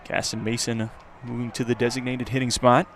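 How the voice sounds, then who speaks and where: A male play-by-play announcer calls a live baseball game in a medium-pitched voice. Faint outdoor ambience sits behind his commentary. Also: level tone, fairly quick, fairly bright tone. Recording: outdoor ballpark ambience noise.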